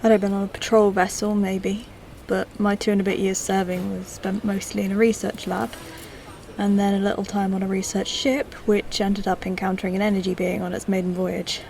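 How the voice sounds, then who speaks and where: A woman playing a courteous, curious old-model android in a sci-fi audio drama, speaking in a measured, polite way. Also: mid-range voice, level tone, gravelly, darkish tone, slight background noise, natural voice. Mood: reflective, fond.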